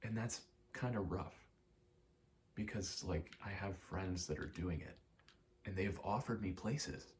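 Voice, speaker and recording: A man's voice: low voice, unhurried, slight background noise. A young man giving a casual, self-deprecating ASMR ramble, swearing freely. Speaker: ASMR video creator.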